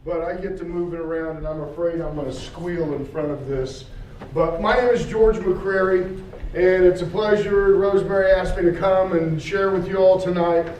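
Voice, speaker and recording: A man's voice: high voice, fairly even intonation, moderate pace, a little mellow, slight background noise. A man giving a folksy, joking talk to a community group without a microphone. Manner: folksy, jokey, self-deprecating. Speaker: guest speaker, public outreach coordinator. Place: community meeting room. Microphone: speaks without a microphone. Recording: echoey room, far from the mic.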